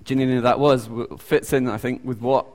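A British man preaches in a church hall, and his voice rings with a long, echoey reverb. His pitch sits in the middle range for a man. He speaks haltingly and often pauses on ums as he searches for his words. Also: fairly even intonation, a little mellow. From United Kingdom. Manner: halting, many ums and restarts. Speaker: lay preacher. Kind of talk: informal sermon with audience questions.

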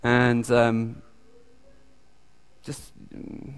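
A British man preaches in a church hall, and his voice rings with a long, echoey reverb. His pitch sits in the middle range for a man. He speaks haltingly and often pauses on ums as he searches for his words. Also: completely flat delivery, clean tone. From United Kingdom. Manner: halting, many ums and restarts. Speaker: lay preacher. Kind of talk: informal sermon with audience questions.

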